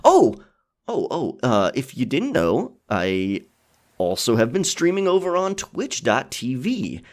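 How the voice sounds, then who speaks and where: A man playing a friendly, caring pirate captain in a soft, chatty, encouraging ASMR check-in. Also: mid-range voice, wildly swooping pitch, takes it slowly. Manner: encouraging, chatty, hesitant, chuckling often. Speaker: ASMR storyteller and streamer.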